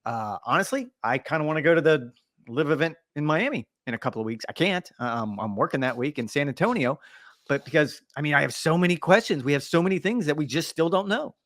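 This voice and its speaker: A man's voice: on the high side, quick pace, dry recording, clean studio sound. Teasing man co-hosting a podcast. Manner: teasing, animated banter. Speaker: podcast co-host. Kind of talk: casual sports podcast chat.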